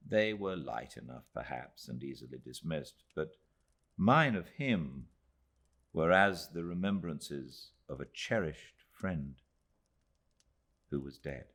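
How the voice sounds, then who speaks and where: A British man reading a literary audiobook in measured, dramatic phrases with deliberate pauses. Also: extremely low pitch, lively intonation, a bit bright, husky. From Britain. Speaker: audiobook narrator.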